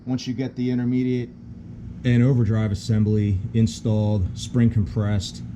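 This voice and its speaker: A middle-aged man with a fairly low voice walks through technical details like a shop instructor. His delivery is matter-of-fact and a bit halting, thinking aloud as he goes. A clear hum and vehicle noise sit behind him. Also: level tone, mellow. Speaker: auto mechanic instructor. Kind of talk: hands-on technical explanation.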